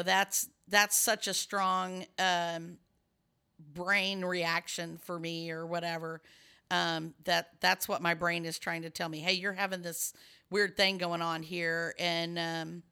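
A woman's voice: on the low side, pushed and bright, clear, resonant tone. A middle-aged woman with a scratchy voice, hosting a casual chat and explaining at length with many restarts and fillers.

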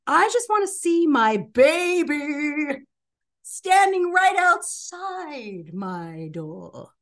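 A woman's voice: sits high, very animated, very slow. A woman doing playful, theatrical dramatic readings with an exaggerated, melodramatic delivery as a podcast co-host.

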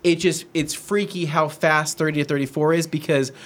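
A man's voice: a little high, steady tone, normal speed, a bit bright. A man in his thirties co-hosting a studio talk show, joking and exaggerating as he talks. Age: 30s. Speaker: co-host of detailing talk show. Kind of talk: casual studio chat.

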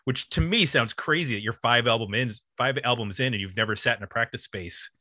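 A man's voice: medium pitch, fairly quick, bright, forceful tone, no room sound. A man co-hosting a casual music discussion, rambling and peppering his sentences with "like". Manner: rambling, uses 'like' constantly. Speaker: podcast co-host.

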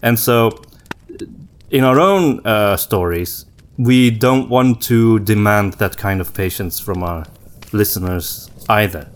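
A man co-hosting a podcast in casual conversation, with hesitant, halting phrasing and repeated words. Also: lowish voice, slight background noise. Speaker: podcast co-host and story writer.

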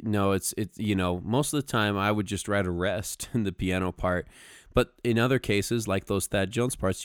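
A man with a deep, clear voice co-hosts a podcast, recorded dry and close. He explains music in a casual way, now and then halting or doubling a word as he works out the thought. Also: no room sound. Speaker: podcast co-host. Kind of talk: casual explanatory talk about music arranging.

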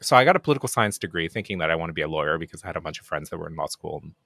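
A middle-aged man from Japan, a native Japanese speaker speaking English, chatting as a podcast guest in a relaxed, self-deprecating way with many fillers. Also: rumbling low, racing, vocal fry, no room sound, studio quality. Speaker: podcast guest, game producer. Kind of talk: casual interview chat.

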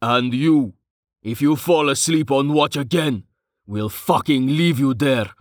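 A man voicing a gruff, short-tempered male leader of a fantasy adventuring band in an audiobook. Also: lowish voice, level tone, slow pace, darkish tone, no echo, clean studio sound, exaggerated voice. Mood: irritated. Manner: gruff, snapping.

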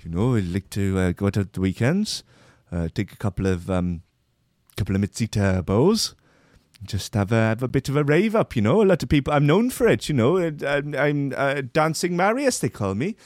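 A man voicing a cheerful young Welsh party-goer in a shaky, inconsistent Welsh accent, with rambling, halting, goofy enthusiasm. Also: medium pitch, big pitch swings, darkish tone, dry recording, clean studio sound, lightly acted. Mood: boastful. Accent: attempted Welsh, inconsistent. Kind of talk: comedic impersonation.

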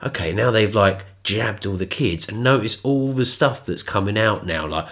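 Stuffy-nosed man, lively pitch. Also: moderate pace. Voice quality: nasal, stuffy. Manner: sweary, mocking, jumps into high mimicking voices. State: blocked nose from a cold. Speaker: podcast co-host. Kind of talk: casual conspiratorial chat.

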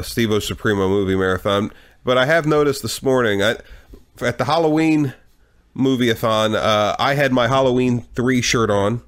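A man hosting a movie marathon podcast, a little congested from a cold, with wry joking remarks. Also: medium pitch. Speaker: podcast host. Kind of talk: casual chat.

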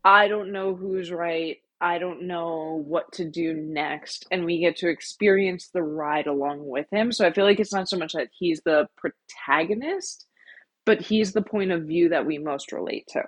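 A woman co-hosting a podcast, talking through a story casually in a measured, reflective way. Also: lowish voice, no echo. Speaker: podcast co-host. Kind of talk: casual book discussion.

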